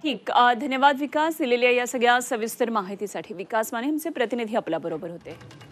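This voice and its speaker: A woman's voice: on the high side, fairly quick. A woman reading the news as a studio anchor. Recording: music bed behind voice.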